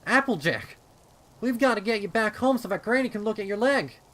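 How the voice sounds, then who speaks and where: A man's voice: high voice, expressive, brisk, fairly bright tone, voice pushed up. A man voicing a frightened young filly in a storybook horror reading, urgent and stammering. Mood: urgent, pleading. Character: frightened young filly, loyal little farm pony sister.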